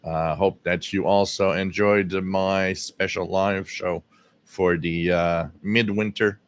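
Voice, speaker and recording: A man from Quebec, Canada, hosting a casual live radio-hobby stream with a scratchy, hoarse voice, rambling with lots of fillers, recorded on a directional mic at home. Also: sits low, fairly even intonation, slow pace. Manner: rambling, many fillers and restarts. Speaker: radio hobby livestream host. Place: home radio room with air conditioning running. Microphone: directional microphone.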